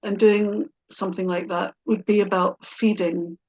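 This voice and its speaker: A middle-aged woman in a casual, personal conversation. She speaks at a medium pitch and thinks aloud, feeling her way through her sentences with some hesitation. Her manner is thoughtful and self-reflective. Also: little pitch movement, normal speed, a little mellow, lo-fi sound.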